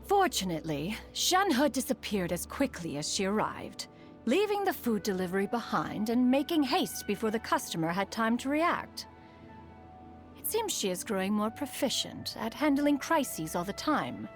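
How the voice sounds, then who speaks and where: A woman's voice: a little high, very animated, normal speed. A woman voicing a proud, refined, aloof ancient immortal in a video game, speaking formally and loftily. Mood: concerned, fond. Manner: formal, haughty, calls herself "one". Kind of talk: scripted video game character voice lines. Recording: music behind the voice.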